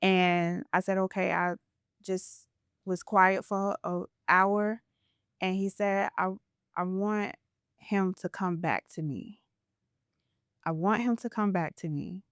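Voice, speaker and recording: A woman's voice: medium pitch, steady tone, takes it slowly, pauses often, clean tone, no echo, studio quality. A woman podcast host talking in an earnest, reflective way as she shares a spiritual story in a casual interview.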